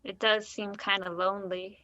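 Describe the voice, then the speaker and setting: A woman's voice: medium pitch, level tone, normal speed, pushed and bright, no echo, lo-fi sound, close to an everyday voice. A woman acting a naive, unsettled nineteen-year-old confiding in a stranger in a naturalistic play. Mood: subdued. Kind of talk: naturalistic one-act play dialogue.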